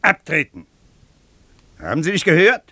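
An actor playing a gruff, domineering older army colonel in a German radio drama, barking curt commands. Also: male voice, a little high, wildly swooping pitch, normal speed, bright, forceful tone, exaggerated voice. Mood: barking, indignant.

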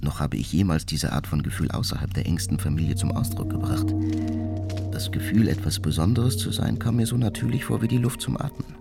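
An actor playing a brooding, resentful, coldly self-important genius who recounts his life in a measured, formal monologue for a radio documentary. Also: sits low, creaky. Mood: proud, brooding. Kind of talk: dramatized first-person monologue in a radio documentary. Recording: studio voice with music underneath. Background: music.

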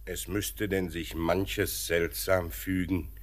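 An actor playing a thoughtful, middle-aged father and mine master, measured and reflective in a poetic radio drama. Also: sits low, level tone. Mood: wistful. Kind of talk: poetic German radio play acting.

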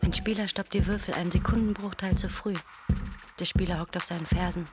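A performer narrating stark, seedy scenes in detached fragments for a stylized radio play, with loud music over the voice. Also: mid-range voice, steady tone, a little fast, close to an everyday voice.

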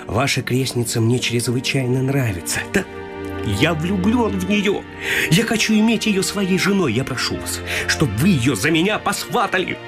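An actor playing an impulsive, lovestruck young gentleman in a period comedy radio play, effusive, rhetorical and theatrical. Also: on the high side, sing-song, moderate pace, over music. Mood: earnest pleading.

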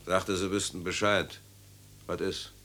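A wary, ordinary householder in a radio play. His voice is very deep, gruff and grumbling, with naturalistic delivery. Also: little pitch movement, a little slow, bright, forceful tone, heavily acted. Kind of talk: naturalistic German radio drama acting.

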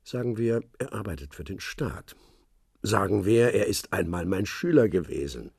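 An actor playing a cryptic old retired secret-service man who talks in measured hints. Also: sits low, lively intonation, creaky, darkish tone, husky, dry recording, studio quality, heavily acted. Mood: calm. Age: older. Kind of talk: radio play acting.

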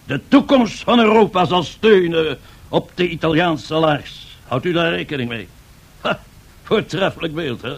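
Strutting Italian fascist leader from a radio play. His husky, creaky voice sits a little high. He speaks in pompous, self-admiring rhetoric, grand and boastful throughout. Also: animated, measured pace, full character voice. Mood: self-satisfied. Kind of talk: 1970s radio drama acting.

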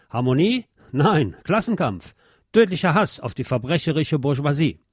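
A man reading a scripted history narration as narrator, in a measured documentary manner. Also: mid-range voice, darkish tone, dry recording, studio quality.

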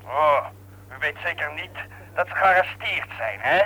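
A wronged young man with a distinctly high voice. He is defiant and bitterly sarcastic, throwing accusations at his superior in radio-drama style. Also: animated, measured pace, sharp tone, husky, full character voice, poor audio. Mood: bitter, accusing. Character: bitter, defiant young male worker who feels betrayed.

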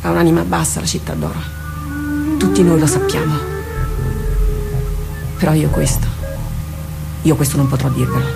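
An actress playing a weary, solemn female captain addressing her troops in a radio drama, with halting, impassioned rhetoric. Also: low-pitched, creaky. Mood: grave resolve. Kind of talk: theatrical radio drama monologue. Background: music.

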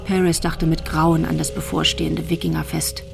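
A woman's voice: low-pitched, little pitch movement, normal speed, vocal fry, music in the background. A woman narrating a crime radio drama as a composed storyteller.

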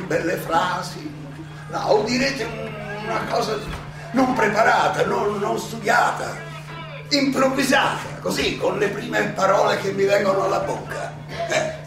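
Old greek philosopher defending himself onstage, ironic n indignant, keeps repeating himself, far off in big echo, music behind. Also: male voice, sits high. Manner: halting, rhetorical, repeats phrases, interjects questions. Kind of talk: theatrical stage monologue. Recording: very echoey, distant miking, music behind.

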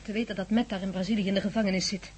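A woman's voice: mid-range voice, fairly even intonation, very fast, darkish tone, slightly rough, lightly acted. An actress playing an anxious, caring woman in a radio drama, worried and questioning.

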